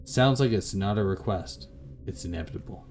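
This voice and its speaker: A man voicing a gentle late husband heard in memory in a visual novel, soft, tender and soothing. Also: fairly low pitch, moderate pace, a little hiss or hum, lightly acted.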